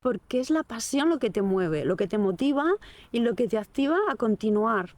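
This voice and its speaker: A woman hosts a relaxed interview, asking brief follow-up questions and nudging her guest on. Her voice sits a little higher than average. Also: normal speed. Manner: encouraging, asks short follow-up questions. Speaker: host. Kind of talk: casual interview.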